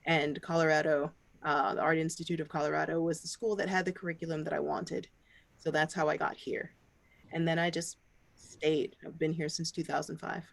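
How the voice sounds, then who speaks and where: A man's voice: on the high side, brisk, vocal fry, dry recording. Man chatting with many fillers, dry sound. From Barbados. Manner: relaxed, conversational with many fillers. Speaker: panelist artist. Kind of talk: panel discussion.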